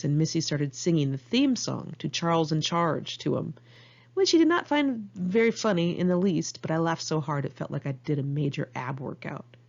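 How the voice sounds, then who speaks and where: An American woman reads her own memoir as an informal audiobook, with a candid, wry, expressive manner. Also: low voice, creaky, lo-fi sound. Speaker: author reading own memoir.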